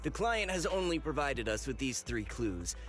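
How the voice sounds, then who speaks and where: An actor playing a smooth-talking male intelligence broker in a video game, persuasive and explanatory like a salesman. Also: medium pitch, sing-song, normal speed, soft background music, natural voice. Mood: businesslike.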